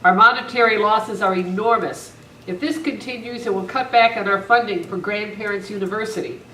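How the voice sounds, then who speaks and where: A woman's voice: mid-range voice, a little fast, fairly bright tone, close to an everyday voice. A woman playing an earnest, emphatic university alumni coordinator in an amateur radio script reading. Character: earnest, worried female alumni affairs coordinator. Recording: roomy, distant camera mic, faint noise.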